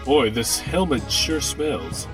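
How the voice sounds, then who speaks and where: A man's voice: mid-range voice, sing-song, slow pace, music in the background. A man playing an earnest, cautious time-travelling investigator with folksy politeness in a 1940s-style radio play. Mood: dry, cautious.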